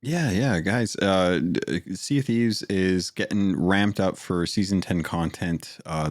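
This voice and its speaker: Low-voiced man, casual podcast co-host. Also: no echo, clean studio sound. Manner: chatty, filler-heavy, eager when recommending games.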